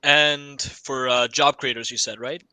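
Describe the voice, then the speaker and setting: A young man with a bright, sharp tone answers a panel question in a dry, close recording. He gropes for words, restarting and filling pauses. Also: medium pitch, steady tone, clear, resonant tone, dry recording. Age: young adult. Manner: hesitant, many fillers and restarts. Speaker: college student panelist. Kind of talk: casual podcast panel answer.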